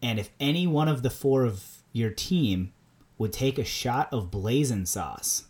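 A man with a Minnesota accent, co-hosting a podcast in a relaxed chat, laughing often and repeating words as he starts sentences. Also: medium pitch, a little slow. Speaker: podcast co-host. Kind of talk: casual chat.

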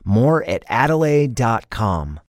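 A man reading a sponsor message in a crisp, even announcer manner. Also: medium pitch, takes it slowly, no echo, studio quality. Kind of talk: read advertisement.

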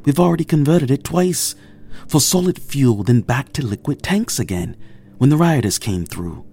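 An actor playing a weary, apologetic rocket engineer in a naturalistic audio drama. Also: male voice, medium pitch, expressive, normal speed, vocal fry, mellow, husky. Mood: frustrated, weary. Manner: downbeat, apologetic. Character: weary, overworked male rocket engineer leading a crew.

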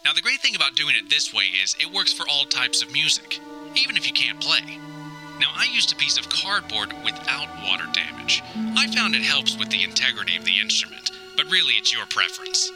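A man's voice: medium pitch, big pitch swings, a little fast, over music, poor audio. A man playing a melodramatic grown son trapped in a house, reading his survival audio diary in grandiose, theatrical, formal phrasing. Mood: earnest, instructive. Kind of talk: scripted audio diary monologue, mock-survivalist narration.

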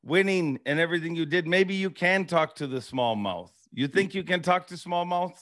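A man with a notably high voice for a male speaker. He hosts a casual sports interview, putting questions to his guest in a chatty and encouraging manner. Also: normal speed, a bit bright. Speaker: show host, interviewer.

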